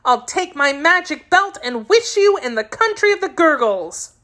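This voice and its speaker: A woman voicing an anxious, earnest young girl in a children's storybook, excitable and insistent. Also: sits high, normal speed, pitched above the performer's own voice. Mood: provoked.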